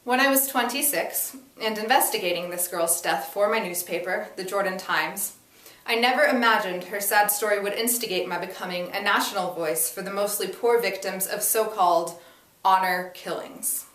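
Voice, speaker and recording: A woman reads a first-person story as a dramatic performance. Her delivery is deliberate and emphatic, at a middling pitch. The room echoes clearly around her, and she sounds set back from the microphone. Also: a bit bright. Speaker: reader performing a dramatic reading. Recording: echoey room, distant mic.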